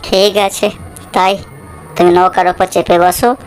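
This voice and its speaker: A woman's voice: lowish voice, level tone, a little slow, soft background music, pitched below the performer's own voice, natural voice. A woman voicing a friendly, lonely ferry boatman with an obliging, plain-spoken manner in a children's cartoon.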